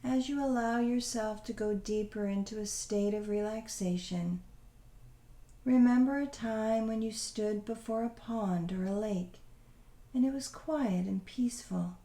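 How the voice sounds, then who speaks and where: Slow, mellow woman guiding a meditation. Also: mid-range voice, echoey room. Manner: soothing, unhurried, gently instructing. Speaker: guided meditation leader. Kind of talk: guided visualization meditation narration.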